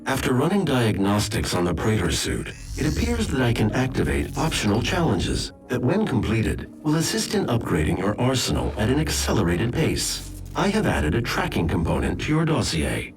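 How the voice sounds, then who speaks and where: An actor playing a polite, composed sentient artificial intelligence in a video game, giving information in formal wording. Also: male voice, medium pitch, huge pitch swings, normal speed, soft background music. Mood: helpful.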